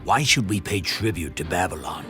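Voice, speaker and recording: Imperious, defiant monarch, deep and sharp-edged, for a dramatized Bible story. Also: male voice, expressive, moderate pace, faint music behind. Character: proud, defiant male king of Judah.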